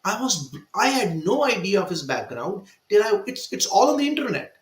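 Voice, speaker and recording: A man's voice: sits high, very animated, moderate pace, echoey room. A man telling a personal story in a panel discussion, emphatic and fond of rhetorical asides. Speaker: guest speaker.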